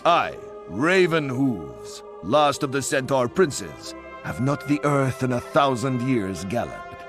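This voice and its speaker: An actor playing a haughty, flamboyant aristocratic centaur prince in a video game, pompous and mocking. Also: male voice, mid-range voice, sing-song, takes it slowly. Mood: pompous, boastful. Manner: pompous, mocking, inverted word order. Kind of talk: video game character acting. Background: music.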